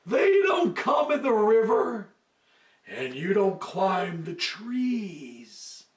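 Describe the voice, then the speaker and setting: A man's voice: high voice, huge pitch swings, exaggerated voice. Guy doing a tired old croc, slooow drawl, dry and unimpressed, echoey. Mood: skeptical. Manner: slow, drawn-out, skeptical drawl. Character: weary old male crocodile, dry and unimpressed. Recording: roomy, from a distance.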